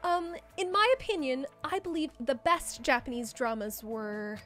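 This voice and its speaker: A woman performs a young princess from a visual novel game in a high, animated voice. The delivery is formal and precise, full of earnest enthusiasm, with music underneath. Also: moderate pace. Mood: earnest lecturing. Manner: formal, precise, exclamatory speech. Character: polite foreign princess girl, earnest, enthusiastic about Japanese dramas. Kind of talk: dramatic read of visual novel dialogue.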